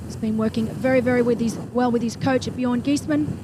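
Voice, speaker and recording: A woman with a bright, high voice calls a triathlon live, brisk and enthusiastic, with chatty asides. Engine and wind noise from the race can be heard clearly behind her. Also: steady tone. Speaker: race commentator. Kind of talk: live sports commentary.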